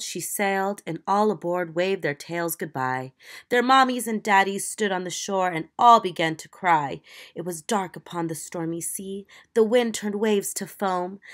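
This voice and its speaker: A woman voicing a talkative, excitable young boy mouse in a children's storybook, with eager, exaggerated delivery. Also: mid-range voice, lightly acted.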